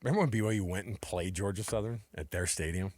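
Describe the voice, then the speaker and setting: A man's voice: low-pitched, fast talker, darkish tone, no echo. American sports radio man, dry studio sound. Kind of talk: casual sports talk banter.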